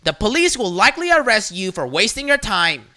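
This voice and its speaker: A narrator doing a domineering entitled mom, scolding in a raised, bright, sharp voice that swoops up and down. she barks orders and won't hear a word back. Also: mid-range voice, very animated, measured pace, voice pushed up, full character voice. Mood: angry. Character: loud bossy entitled middle-aged mother.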